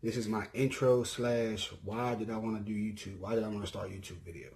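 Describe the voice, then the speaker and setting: Laid-back young Southern man, low voice. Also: fairly even intonation, fairly quick, creaky, darkish tone, a little hiss or hum. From Mississippi. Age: 20s. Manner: laid-back, rambling, frequent ums and self-corrections. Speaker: YouTuber. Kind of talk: casual self-introduction vlog.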